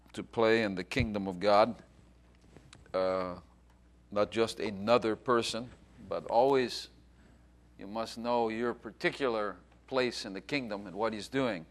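A pastor preaches with a somewhat low voice and an urgent, exhorting delivery. He leans on repeated phrases to drive his points home. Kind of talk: sermon.

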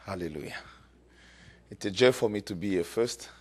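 A man from Congo, a guest pastor, sharing a fervent testimony in church, halting often with fillers. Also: fairly low pitch, heavy background noise.

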